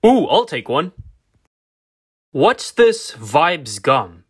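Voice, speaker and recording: A man's voice: fairly high pitch, sing-song, drawn-out words, husky, heavily acted. A man voicing an earnest toy race car talking with team-mates in an amateur fan dub. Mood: earnest, conversational.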